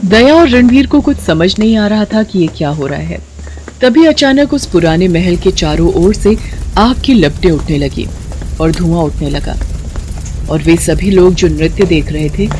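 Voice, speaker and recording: Expressive woman narrating a story. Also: lowish voice, a little slow, background noise. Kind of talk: scripted dramatic story narration.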